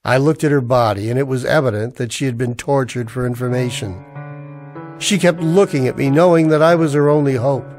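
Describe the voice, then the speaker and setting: A man's voice: mid-range voice, fairly quick, darkish tone, soft background music, lightly acted. An actor playing a weary, cynical man in a scripted radio-style noir drama. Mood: brooding. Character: weary, cynical, down-on-his-luck man narrating a noir tale. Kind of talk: scripted noir narration in the manner of old radio plays.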